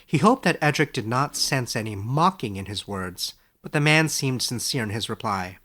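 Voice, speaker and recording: A man narrating a fairy tale from a script in a measured storytelling manner. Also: medium pitch, animated, fairly bright tone. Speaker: audiobook narrator.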